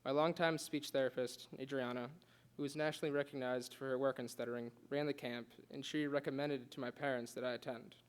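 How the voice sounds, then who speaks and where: Young male student, quick delivery, earnest speech with a light stutter. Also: mid-range voice, fairly even intonation, clear, resonant tone. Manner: earnest, with occasional stutters and restarts. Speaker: student giving a class speech. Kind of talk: prepared speech read aloud.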